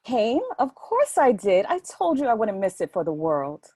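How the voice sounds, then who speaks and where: A woman's voice: mid-range voice, very animated, normal speed, mellow, no echo, clean studio sound. A woman playing a sassy, scheming young woman in a play reading, taunting and mock-sweet. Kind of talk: readers theatre play reading.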